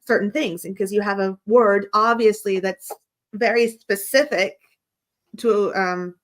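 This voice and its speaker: A woman's voice: medium pitch, fairly even intonation, unhurried. An American woman from the United States hosting a casual chat, asking probing questions and laughing often. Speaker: host.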